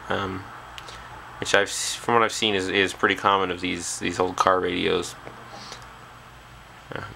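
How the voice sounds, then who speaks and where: A man's voice: low-pitched, gravelly, bright, forceful tone, slight background noise, low-quality recording. A man talking casually and off the cuff as a hobbyist presenter, hesitantly thinking aloud at an indoor workbench on a camera's built-in mic. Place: small indoor workshop at a bench.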